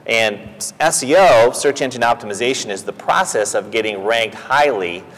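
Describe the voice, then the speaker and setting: A man, a company president, explaining a technical topic in a steady, businesslike interview answer. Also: mid-range voice, moderate pace. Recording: reverberant room.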